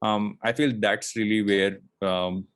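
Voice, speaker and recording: A man's voice: lowish voice, fairly even intonation, a little slow, smooth, dry recording. A man in his late thirties from India, a podcast guest talking in a reflective, rambling way with lots of fillers and restarts. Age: late 30s. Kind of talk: casual interview chat.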